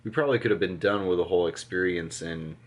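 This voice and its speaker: A man's voice: low voice, fast talker. A young man chatting casually beside his wife, mostly giving brief, dry, agreeing replies. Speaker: husband and co-vlogger. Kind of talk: casual chat.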